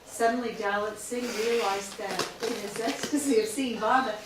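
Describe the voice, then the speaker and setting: A woman's voice: on the high side, fairly even intonation, normal speed. A woman retelling a story expressively in casual conversation, often adding "you know". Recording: echoey room, distant mic, background noise.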